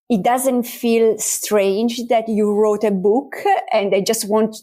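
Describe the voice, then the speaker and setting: A woman talks about her writing in an interview. She speaks slowly and thoughtfully, filling her pauses with soft fillers. Her pitch sits in the middle range. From Italy. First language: Italian. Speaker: author guest. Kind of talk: interview conversation.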